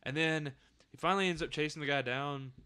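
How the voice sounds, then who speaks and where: Smooth-voiced man telling a casual story. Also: fairly high pitch, brisk, sharp tone. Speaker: podcast guest.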